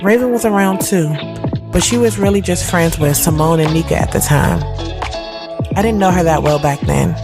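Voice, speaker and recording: A woman's voice: lowish voice, moderate pace, music in the background, natural voice. A woman playing a sassy, candid thirty-year-old who tells her own story in slangy, conversational narration. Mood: fond, nostalgic. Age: 30s. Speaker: narrator and lead of an audio drama series. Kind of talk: first-person storytelling narration, conversational urban drama.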